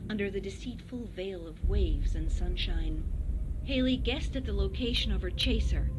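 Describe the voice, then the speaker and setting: A woman reading a horror story as a narrator, in a dramatic scripted delivery. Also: mid-range voice. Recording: muffled recording with heavy noise.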